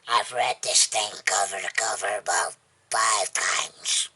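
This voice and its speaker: A vlogger with a husky, breathy, gravelly voice, chatting casually in a rambling, folksy, plainspoken and unhurried way. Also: low voice, bright, forceful tone. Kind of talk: casual rambling chat to camera.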